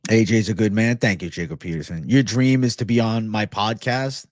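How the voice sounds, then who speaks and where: A man's voice: lowish voice, moderate pace, no room sound, clean studio sound. A man hosting a casual livestream, chatting and answering viewers in slangy, profane, bro-heavy banter. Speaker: livestream host.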